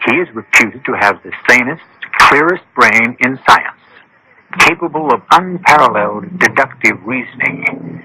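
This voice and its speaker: An older man hosting a radio mystery, narrating in a deliberate, wry, theatrical storyteller's manner. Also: medium pitch, bright, forceful tone, a little hiss or hum, low-quality recording.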